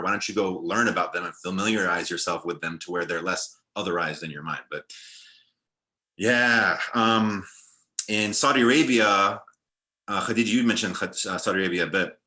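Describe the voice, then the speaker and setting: A young man on a video call panel, talking in a relaxed, reflective way with many fillers and restarts. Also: deep voice. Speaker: film director and panelist.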